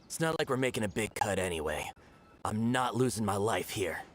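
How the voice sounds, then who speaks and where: A low-level male smuggler in a fantasy game. He stammers nervously, and his mid-pitched voice swings widely. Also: expressive, brisk. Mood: scared. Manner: stammering, jumpy.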